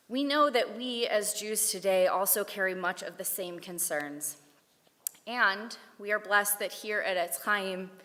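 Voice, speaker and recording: A woman giving a prepared Torah talk at a service, with a measured, deliberate delivery. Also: lowish voice, pushed and bright. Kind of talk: prepared sermon read aloud. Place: synagogue sanctuary. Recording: long hall reverb.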